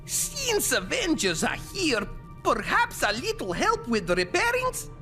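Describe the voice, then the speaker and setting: A woman's voice: high voice, wildly swooping pitch, measured pace, pushed and bright, heavily acted. A woman playing an earnest, cheerful space dog in a video game, with a Russian accent and broken English. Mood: upbeat, earnest. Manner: broken English, formal and upbeat. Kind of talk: video game cutscene acting. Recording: music behind the voice.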